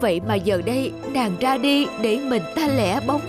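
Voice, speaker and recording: A woman's voice: fairly high pitch, lively intonation, moderate pace, natural voice. A woman with a Southern Vietnamese accent voicing a devoted young husband, tender and earnest, in a cartoon folk tale. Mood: grieving, pleading. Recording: music behind the voice.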